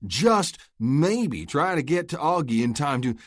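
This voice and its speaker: A man's voice: mid-range voice, moderate pace, dry recording, studio quality, voice pushed up, lightly acted. A man voicing a cool bounty hunter who goads and taunts his foe. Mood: taunting. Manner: taunting, goading, steady.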